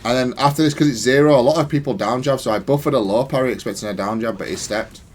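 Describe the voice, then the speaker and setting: A man's voice: medium pitch, quick pace, a little mellow, a little hiss or hum. A man giving casual, analytical gameplay commentary in a matter-of-fact, self-critical way. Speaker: gaming content creator.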